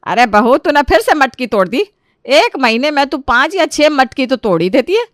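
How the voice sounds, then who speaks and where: A woman's voice: high-pitched, sing-song, normal speed, dry recording, clean studio sound, voice pushed up. A woman voicing a bossy middle-aged mother-in-law in a storybook tale, scolding in an exasperated, commanding way.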